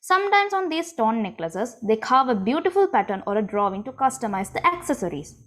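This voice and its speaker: Lively Sri woman presenting. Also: a little high, soft background music. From Sri Lanka. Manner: reads from a script, clear and measured. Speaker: student presenter. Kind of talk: prepared presentation.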